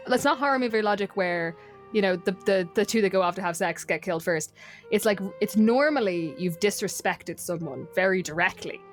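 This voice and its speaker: A woman's voice: mid-range voice, fairly quick, faint music behind. An Irish woman chatting casually as a guest, telling stories in a wry, self-deprecating way. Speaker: podcast guest. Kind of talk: casual storytelling chat.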